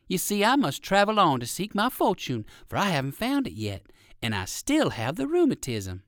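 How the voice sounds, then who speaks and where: Storybook old gentleman rabbit, played by a man: courteous, curious, lifted pitch, animated delivery. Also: normal speed, no room sound, studio quality, full character voice. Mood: polite, wistful. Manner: polite, expressive, old-fashioned exclaiming.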